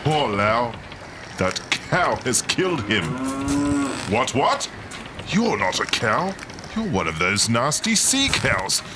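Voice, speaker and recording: A man's voice: medium pitch, big pitch swings, slow, background noise. Man playing a swoopy, melodramatic boat skipper. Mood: alarmed. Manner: theatrical, exclamatory. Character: gruff squid-boat skipper, greedy and melodramatic. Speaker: actor. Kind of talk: comic radio drama acting.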